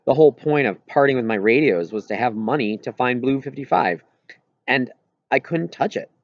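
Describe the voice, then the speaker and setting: A man's voice: mid-range voice, moderate pace, no room sound, lo-fi sound. A man reading a children's novel aloud in first-person narration, as an audiobook reader.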